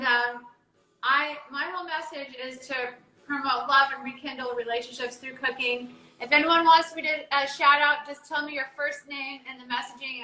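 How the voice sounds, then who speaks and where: A woman's voice: sits high, bright, forceful tone, roomy sound, poor audio. An American woman hosting a live cooking stream from her kitchen, chatting in a rambling way with many restarts. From United States. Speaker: cooking show host. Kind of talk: casual live-stream chat. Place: home kitchen.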